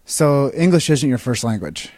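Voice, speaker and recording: A man's voice: medium pitch, very animated, moderate pace, darkish tone, dry recording. A man hosting a live interview, asking brief questions and steering toward a close. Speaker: podcast host, interviewer.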